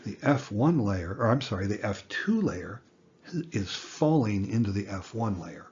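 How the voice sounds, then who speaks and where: A man in his sixties giving an educational lecture in plain words, with a deliberate, folksy manner. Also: on the low side, expressive, darkish tone, dull audio, slight background noise. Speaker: instructor.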